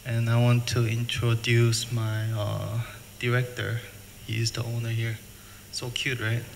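A middle-aged man from the United States presenting at a seminar, speaking plain, halting English and repeating words. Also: medium pitch, completely flat delivery, vocal fry. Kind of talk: conference presentation. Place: hotel function room. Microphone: table mic, filmed from afar. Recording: reverberant hall, faint noise.

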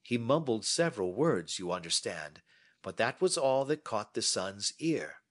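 A man's voice: lowish voice, sing-song, measured pace, a bit bright, voice pushed up, heavily acted. A man reading as a brilliant, self-assured Victorian detective who explains his deductions precisely. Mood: explaining.

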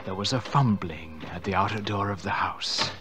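A man's voice: fairly low pitch, moderate pace, music in the background, close to an everyday voice. An actor playing a suave, scheming nephew who narrates his crime in theatrical old-time radio mystery style. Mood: sly, suspenseful.

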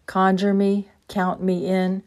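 A woman's voice: medium pitch, completely flat delivery, slow pace, darkish tone. An American woman, a poet, reading a prepared introduction and verse aloud. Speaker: poet and writer.

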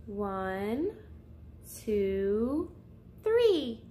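A woman's voice: mid-range voice, sing-song, extremely slow, dark tone, slight background noise. A woman reading a picture book aloud as a children's story-time host, speaking directly to her young listeners.

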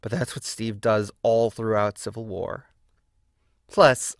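A man's voice: fairly low pitch, no echo, studio quality. A man delivering scripted video-essay narration with casual asides, in an opinionated, emphatic and wry manner.

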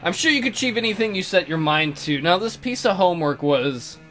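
A man's voice: high-pitched, lively intonation, brisk, sharp tone, soft background music. A man playing a flustered, evasive private tutor in a visual novel, hesitant and placating. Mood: bemused, indulgent.

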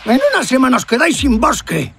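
A man voicing a cocky, easily panicked crocodile henchman in a cartoon, gravelly and taunting. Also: extremely high pitch, sing-song, quick pace, a little hiss or hum, heavily acted. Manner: taunting, exclamatory. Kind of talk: cartoon dub acting. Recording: loud music over the voice.

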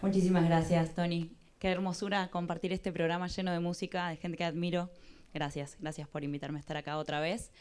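A woman's voice: on the low side, flat delivery, brisk, a little mellow, clean tone. A woman in her thirties with an Argentine accent, delivering a theatrical stage monologue into a handheld microphone. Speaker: performer, actress and singer. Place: TV studio stage.

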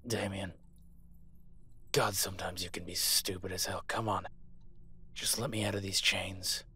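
Man acting a husky, defiant captive werewolf alpha. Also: low-pitched, little pitch movement, unhurried, bright, forceful tone, exaggerated voice. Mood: exasperated, urgent. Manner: profane, sardonic, urgent persuading. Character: captive male werewolf alpha soldier, defiant, pragmatic, protective. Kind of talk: audio roleplay acting.

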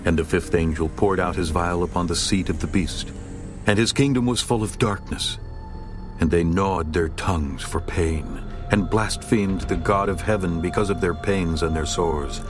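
Low voiced guy reading scripture slow n solemn, music playing under it. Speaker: narrator. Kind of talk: dramatized scripture narration.

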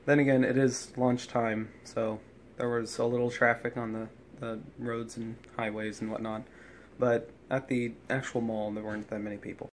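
A man's voice: mid-range voice, flat delivery, moderate pace. A young man chatting casually as a vlogger, talking into a phone held at arm's length. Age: young adult. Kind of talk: casual vlog chat. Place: home rooms, car and outdoors. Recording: clear background noise, handheld phone.

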